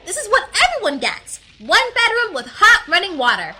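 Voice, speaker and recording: A woman's voice: very high voice, sing-song, moderate pace, pushed and bright, a little hiss or hum, heavily acted, rough recording. A woman voicing a sassy, blunt bat who serves as a guide in Hell, mocking and matter-of-fact, in an audio drama. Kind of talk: audio drama character acting.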